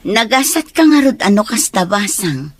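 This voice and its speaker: A kindly older neighbour in an Ilocano radio drama. Her mid-pitched voice is sympathetic and indulgent. The recording is dry and close. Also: very animated, moderate pace, dry recording.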